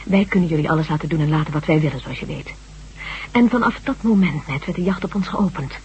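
An actress playing a grave, resolute survivor of a hunted humanlike species in a Dutch radio drama, speaking in an earnest, measured way. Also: female voice, low voice, darkish tone, natural voice. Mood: bitter, grave. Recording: dull old radio recording with hum.

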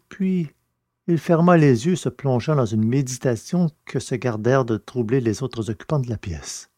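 Mellow male narrator, very dry sound. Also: mid-range voice, dry recording. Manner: measured, literary reading. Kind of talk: scripted story narration.

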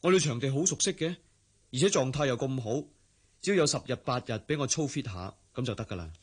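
A man's voice: medium pitch, animated, fast, breathy edge. A man playing a confident, easygoing young jockey and doting fiancé in a Cantonese radio drama, with a teasing manner. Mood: cocky, teasing. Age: young adult.

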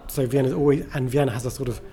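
A man with a dark, mellow voice at an average pitch talks as an interviewed writer. He is casual and enthusiastic, interrupting himself as he rambles along. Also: steady tone, very fast.

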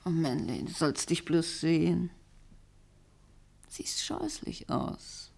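An actress playing a lonely, needling ex-partner in a German radio play, intimate and volatile, with a creaky, breathy voice. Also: female voice, low voice, slow pace, a little mellow, full character voice. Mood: fussing, reproachful. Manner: intimate, fussing, emotionally volatile.

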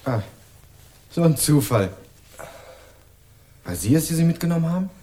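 A man's voice: on the high side, sing-song, normal speed, mellow, husky. A young man narrating a radio crime drama in the first person, playing a dogged student turned amateur sleuth in a dry, ironic tone. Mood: concerned. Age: young adult. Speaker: narrator and protagonist.

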